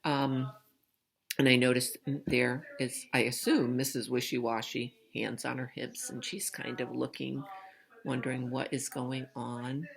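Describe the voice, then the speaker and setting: A woman's voice: extremely low pitch, little pitch movement, a little slow, gravelly. A woman teacher reading a storybook aloud to young children, in an instructive way with questions for the listener.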